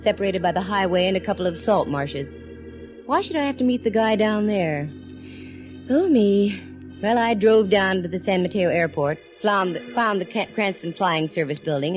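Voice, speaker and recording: An actress playing a sassy, wisecracking young woman private eye in a 1940s radio drama, teasing and quick with comebacks. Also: medium pitch, natural voice. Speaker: private eye lead and narrator. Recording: old radio broadcast with faint hiss.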